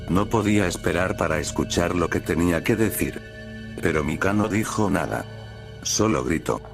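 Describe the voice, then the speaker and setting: A man narrating a scripted first-person horror story as a storyteller. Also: low-pitched. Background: prominent music bed.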